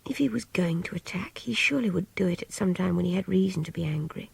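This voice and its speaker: A woman's voice: mid-range voice, creaky, darkish tone, breathy edge, no room sound, heavily acted. An actress playing a reflective, idealistic young captive woman with an English accent, confiding her thoughts in a radio drama monologue. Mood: musing, wry. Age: young adult. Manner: intimate, confiding, often dropping towards a whisper.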